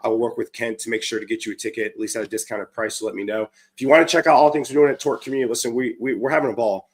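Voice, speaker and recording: A hoarse man, talking upbeat and chatty on his live stream, full of ums and restarts. He sounds like he's on a desk mic over a video call. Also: mid-range voice, fairly even intonation, very fast. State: hoarse from a cold. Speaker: livestream show host. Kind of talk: casual live-stream chat.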